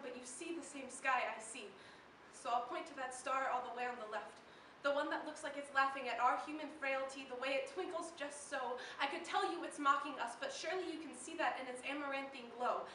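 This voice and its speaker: A young woman performing slam poetry in a dramatic, deliberate way, her delivery moving between hushed and intense. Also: sits high. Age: teenager or young adult. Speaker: slam poet. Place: live poetry slam in a room. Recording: echoey room, distant mic, faint noise.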